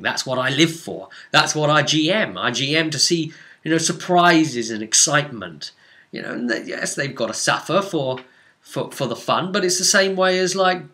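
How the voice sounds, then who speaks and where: Male game master for a vlog recap: bright, forceful voice, theatrical storyteller who leans hard on key words. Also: fairly high pitch. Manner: theatrical, dramatic emphasis and drawn-out words.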